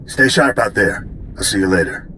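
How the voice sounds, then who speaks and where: A man playing a friendly, folksy veteran soldier mechanic in a video game, with a gruff, casual way of talking. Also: lowish voice, animated, moderate pace, very noisy, lightly acted. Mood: easygoing, rueful.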